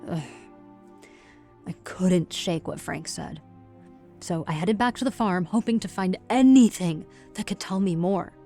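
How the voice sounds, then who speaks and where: Audio drama lead: determined young woman investigator, unhurried first-person delivery with gravelly fry. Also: lowish voice, mellow, soft background music. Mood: uneasy, brooding. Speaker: lead actor and narrator.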